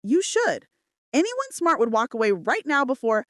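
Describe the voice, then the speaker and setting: A brooding, controlling male mafia boss, as a woman narrator puts him on in a romance audiobook. He speaks tersely and commands every exchange. The pitch swings widely and the recording is dry. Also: big pitch swings, normal speed, no echo. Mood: grim. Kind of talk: dramatic romance audiobook dialogue.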